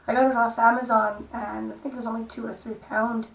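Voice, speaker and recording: A middle-aged woman with a croaky voice, gently talking through a makeup tutorial in a soft, unhurried way. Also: fairly high pitch, flat delivery, poor audio. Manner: soft-spoken, unhurried, stops and restarts gently. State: hoarse, croaky voice. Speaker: makeup vlogger. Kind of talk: casual makeup tutorial talk.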